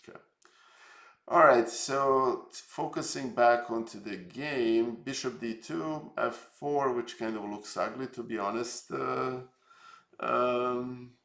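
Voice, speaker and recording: Serbian-born male streamer, gravelly low tone, hesitant and jokey, roomy echo. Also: slow pace, a bit bright. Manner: rambling, hesitant, filler-heavy, jokey. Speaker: streamer host. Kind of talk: casual live stream chat.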